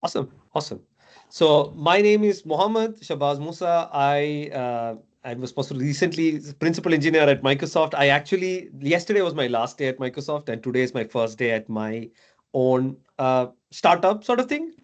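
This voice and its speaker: A man's voice: a little high, expressive, moderate pace. Chatty man from Dubai mentoring. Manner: chatty, many fillers and tag questions. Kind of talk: casual video call chat.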